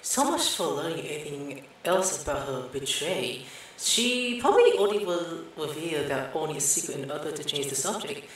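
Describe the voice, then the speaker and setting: A woman's voice: sits low, lively intonation, moderate pace, slight background noise. A woman game streamer commentating informally and reading dialogue aloud, reacting as she plays. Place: indoor room. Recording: very echoey room, faint game music.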